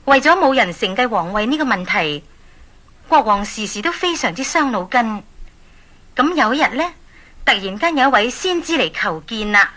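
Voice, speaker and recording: A woman's voice: on the high side, animated, moderate pace, bright, forceful tone. A woman with a Hong Kong accent narrating a scripted story for children, addressing them directly. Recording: 1980s story cassette.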